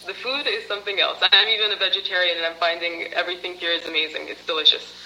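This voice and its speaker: A woman's voice: lowish voice, fairly even intonation, quick pace, pushed and bright, slight background noise, poor audio. A woman as a program participant, answering interview questions in a chatty way, with many fillers and laughs.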